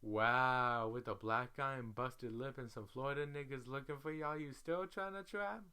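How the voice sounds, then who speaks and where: Man acting a loud, high-pitched sassy young woman. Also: normal speed, clean tone, close to an everyday voice. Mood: incredulous. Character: sassy, blunt, exasperated young woman telling people off.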